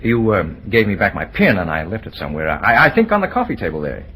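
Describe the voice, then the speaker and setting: A man playing a bashful, lovestruck young man in an old-time radio drama, with an earnest, halting delivery. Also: low-pitched, sing-song, fairly bright tone, breathy edge, full character voice. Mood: sheepish, hesitant. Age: young adult. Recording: noisy vintage radio drama recording.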